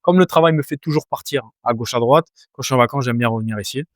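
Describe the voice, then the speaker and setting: A man's voice: mid-range voice, fast talker, no echo, studio quality. A man in his twenties from France, a fighter answering interview questions casually, hesitant with many fillers and restarts. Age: 20s. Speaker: Muay Thai fighter, guest.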